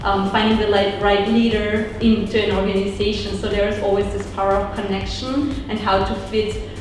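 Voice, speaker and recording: Flat-voiced Austrian-raised woman, distant echo. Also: mid-range voice, normal speed. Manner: reflective, repeats "kind of like". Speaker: guest, certified coach. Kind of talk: interview answers. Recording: echoey, distant mic with faint noise.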